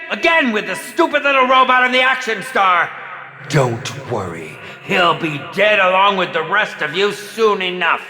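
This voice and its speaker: An actor playing a sinister, flamboyant horror film director, gleefully sadistic and mocking, in theatrical video game villain lines that swing between hushed purring and shouting. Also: male voice, high-pitched, full character voice. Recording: echoey reverberant voice over loud music.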